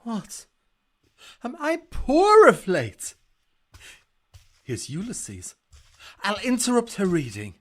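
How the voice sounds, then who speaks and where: A proud, touchy Greek champion in a Shakespearean radio play. The voice is medium-pitched and swoops expressively. The delivery is unhurried, blunt and haughty. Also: huge pitch swings, exaggerated voice. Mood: affronted. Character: Achilles, proud, touchy male Greek warrior. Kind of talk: Shakespearean audio drama acting.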